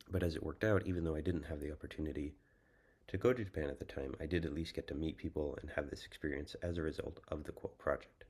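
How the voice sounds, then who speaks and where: A young American man giving a prepared student presentation, speaking in a measured, thoughtful way with frequent ums. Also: rumbling low, a little mellow. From United States. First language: English. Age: young adult.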